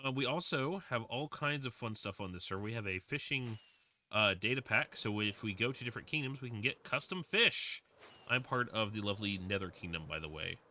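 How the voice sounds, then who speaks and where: Chatty, animated young man streamer. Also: fairly low pitch, a little fast, slight background noise. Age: 20s. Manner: rambling, with many fillers and restarts. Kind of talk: casual livestream chat while playing a game.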